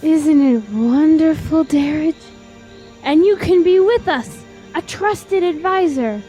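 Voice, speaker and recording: A very high, gentle-toned young female voice , acted by a woman in a fantasy audio drama. She is a naive, yearning traveler with wistful, formal speech and wide-eyed wonder. Music plays faintly behind her. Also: lively intonation, slow pace. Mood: enchanted.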